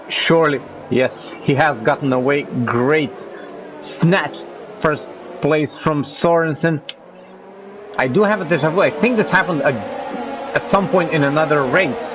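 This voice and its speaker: A man with a cold commentating a sim racing league broadcast, rising in pitch when the action heats up. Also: noisy background. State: has a cold. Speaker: race commentator.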